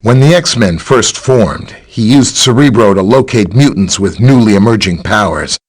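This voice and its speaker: A man playing a friendly, helpful scientist superhero in a video game, with a chatty, polite, explanatory way of talking and a slightly husky voice. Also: on the low side, animated, normal speed. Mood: explanatory, earnest. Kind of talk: scripted video game character dialogue.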